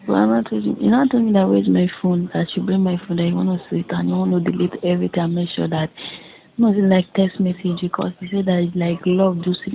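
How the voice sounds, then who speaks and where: A young adult woman with a Nigerian accent casually recounts a conversation, haltingly and repetitively, quoting who said what. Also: on the low side, creaky. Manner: halting, repetitive retelling with reported dialogue. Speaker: caller in a private phone conversation. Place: recorded phone conversation. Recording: muffled lo-fi recorded phone call.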